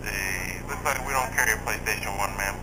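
A man's voice: lowish voice, a little fast. Patient male store clerk on noisy phone. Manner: polite, patient, hesitant. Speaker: electronics store employee. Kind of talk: customer service phone call. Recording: noisy phone line, secondhand capture.

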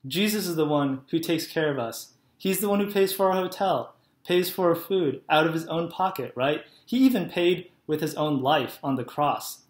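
Young man telling kids a Bible story. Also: on the high side. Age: young adult. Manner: friendly, simple storytelling, asks "right?" to kids. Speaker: Sunday school teacher. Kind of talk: children's sermon. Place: living room at home, indoors. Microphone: laptop webcam, head and shoulders. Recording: echoey room.

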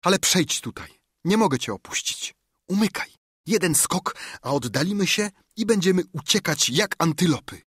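Kindly doctor, voiced by a man: earnest, husky, dry close read for an audiobook. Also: sits high, animated, moderate pace, a bit bright, dry recording, voice pushed up, full character voice. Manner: earnest, urgent pleading. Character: kindly earnest middle-aged male doctor. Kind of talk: audiobook character reading.

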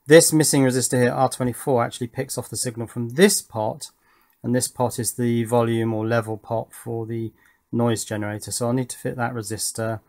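A middle-aged man talks through hands-on electronics work in a casual, matter-of-fact way. His voice sits at a medium pitch. He muses aloud as he goes, like an informal video host at his own bench. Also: little pitch movement, brisk, a little mellow. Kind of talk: casual bench commentary while assembling a circuit board. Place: indoors at a home workbench.

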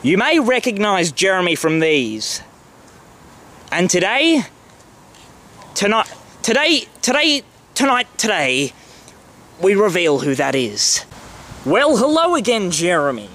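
An actor playing a pompous current-affairs TV presenter with a dramatic, overly serious delivery. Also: male voice, high voice, big pitch swings, slow, long pauses, fairly bright tone, heavily acted. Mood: solemn.

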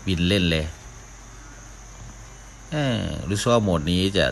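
A man's voice: deep voice, a little slow, a little hiss or hum. A man giving casual live commentary as a gaming video host, often talking to himself as he plays. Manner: mouths sound effects, talks to himself while playing.

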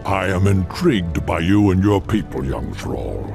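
Big old minotaur chief for a video game, proud n solemn, slow talker, faint music behind. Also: male voice, deep voice, animated, vocal fry, full character voice. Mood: grave, respectful. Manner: slow, solemn, formal speech. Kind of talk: fantasy video game acting.

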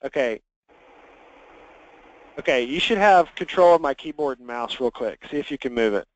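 A man leading a software training conference call, talking conversationally and instructively as he walks listeners through things. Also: mid-range voice, expressive, moderate pace, highs cut off. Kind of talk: conversational screen-share walkthrough. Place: conference call with screen share.

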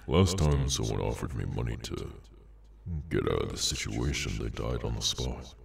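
A man voicing a cursed, inhuman henchman in an ASMR roleplay, bored, sardonic and menacing, with an unhurried dry delivery. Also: expressive, recorded from a distance, exaggerated voice. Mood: cold, dismissive. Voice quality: very deep, gravelly. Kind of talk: ASMR audio roleplay, slow dramatic monologue.

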